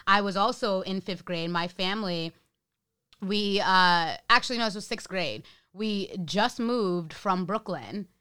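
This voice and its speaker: A woman hosting a casual chat, blunt and expressive, swearing freely. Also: mid-range voice, unhurried, clean tone.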